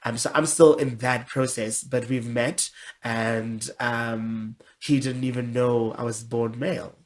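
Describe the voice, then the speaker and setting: A young woman with a deep voice, speaking candidly and expressively as a guest in a casual interview. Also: a little slow. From South Africa. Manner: candid, expressive, with many restarts.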